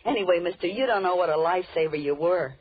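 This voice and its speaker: A woman's voice: fairly low pitch, fast, breathy edge. An actress playing a bossy, sarcastic young woman with blunt, slangy talk in an old radio horror drama. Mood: breezy, wry. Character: brash, bossy, wisecracking tough young woman. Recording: muffled, noisy old radio recording.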